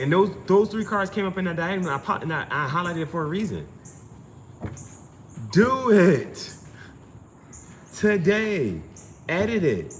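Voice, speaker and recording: A man giving an informal spiritual reading, urging advice in an insistent, repetitive, emphatic way. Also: a little high. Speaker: amateur tarot reader and streamer. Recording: clearly noisy background ambience.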